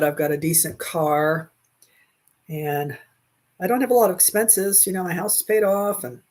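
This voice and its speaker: A woman's voice: low voice, darkish tone. A woman in her sixties chatting casually and laughing easily while she crochets, answering viewers' comments on a livestream from a small room at home, recorded on a webcam. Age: 60s. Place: small bedroom at home, indoors. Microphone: webcam at desk.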